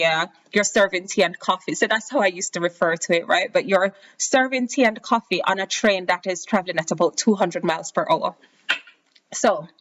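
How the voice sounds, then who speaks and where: A young woman with a Jamaican accent talks through her own story in a relaxed, chatty podcast style. Her voice sits at a middle pitch, and she livens up when she acts out conversations with other people. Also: highs cut off. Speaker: podcast host. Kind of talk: casual personal storytelling.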